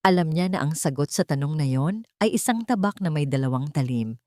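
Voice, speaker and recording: Lowish, expressive woman storyteller. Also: no room sound, studio quality. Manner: solemn, deliberate, suspenseful. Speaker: audio drama narrator. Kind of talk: dramatic scripted story narration.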